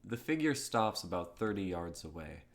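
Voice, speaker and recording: A man's voice: deep voice, big pitch swings, moderate pace. A man chatting casually and reading script narration on an online stream. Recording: clearly noisy mic with keyboard clicks.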